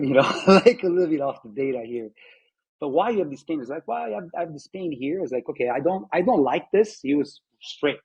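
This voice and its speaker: Husky man in his fifties. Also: mid-range voice, darkish tone, highs cut off. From Italy. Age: 50s. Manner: reflective, halting, many fillers and restarts. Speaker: patient telling his story. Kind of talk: personal testimony interview.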